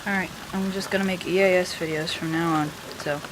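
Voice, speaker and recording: A woman's voice: on the low side, steady tone, fairly quick. Casual female amateur videographer, noisy recording. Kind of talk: casual spontaneous chat while filming. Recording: noisy home recording with rain-like hiss.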